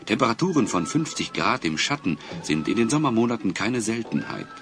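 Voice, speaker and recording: A man's voice: on the low side, big pitch swings, a little fast, vocal fry, fairly bright tone, faint music behind. A man reading dramatic scripted narration as a storyteller.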